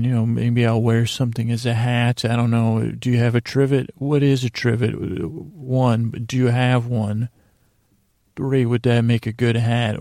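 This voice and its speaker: A man hosting a bedtime podcast with a creaky, gravelly voice, rambling and halting through digressive monologue that often trails off. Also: mid-range voice, mellow, no echo, studio quality. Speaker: podcast host. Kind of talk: rambling bedtime monologue to lull listeners to sleep.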